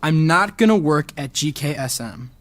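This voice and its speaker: Guy acting a teen boy jock in an audio drama, higher voice, earnest, kinda defensive and frustrated. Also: expressive, moderate pace, lightly acted. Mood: insistent. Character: determined, frustrated teenage high school athlete son.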